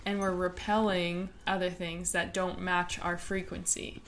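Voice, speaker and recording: A woman co-hosting a podcast who explains ideas in a steady, measured way and backs her partner with soft murmurs of agreement. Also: lowish voice, a little hiss or hum. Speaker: podcast co-host.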